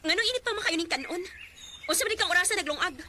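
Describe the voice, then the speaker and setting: A woman's voice: extremely high pitch, animated, very fast. A woman playing a harsh, bossy, nagging mother with a Cebuano Filipino accent in a radio drama. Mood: scolding, impatient. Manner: scolding, curt, bossy. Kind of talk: Philippine radio drama acting. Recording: faint background noise.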